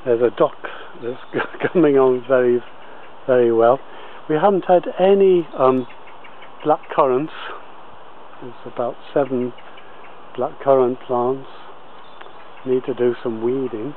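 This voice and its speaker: Slow, halting man on muffled camera audio. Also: medium pitch, slight background noise. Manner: halting, pausing often mid-sentence. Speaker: allotment gardener filming a tour. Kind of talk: informal video tour commentary. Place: outdoors on an allotment. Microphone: handheld camera held by the speaker.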